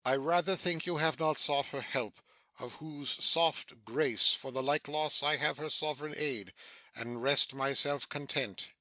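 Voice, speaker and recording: Man acting a dignified duke, slow and forceful. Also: a little high, no echo, rough recording. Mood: composed sympathy. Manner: stately, deliberate. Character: dignified older deposed duke, measured and commanding. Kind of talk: dramatic Shakespeare audiobook reading.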